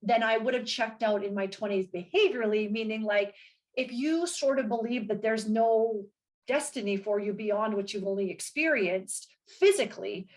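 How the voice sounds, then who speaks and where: Guest, Canadian woman, late forties, mid-range pitch, energetic and articulate. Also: moderate pace, poor audio. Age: 40s. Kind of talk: casual interview chat.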